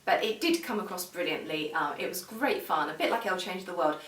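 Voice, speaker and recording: Echoey, lowish-voiced woman reviewing. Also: animated, a little fast, a bit bright. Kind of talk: casual video review. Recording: echoey room, distant microphone.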